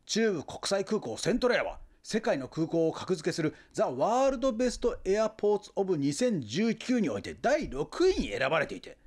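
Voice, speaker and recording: High-pitched, pushy zealot of a man. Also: big pitch swings, normal speed, hardly any pauses, darkish tone. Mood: grandly boastful. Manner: insistent, lecturing, grandiose. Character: pushy, fanatical Nagoya booster, indignant salesman-like man. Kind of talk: comic radio drama dialogue.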